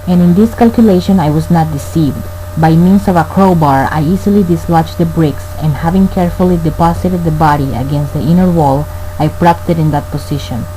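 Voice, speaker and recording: A man playing a brooding murderer who narrates his crime in a radio play, formal and deliberate. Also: a little high, warm tone, lightly acted, low-quality recording. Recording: faint hum and noise.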